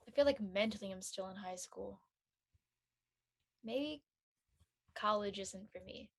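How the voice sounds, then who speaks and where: A woman plays a young college student in a drama reading. She sounds lonely and discouraged. Her voice sits somewhat high and comes out hesitant and plaintive. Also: lightly acted. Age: young adult.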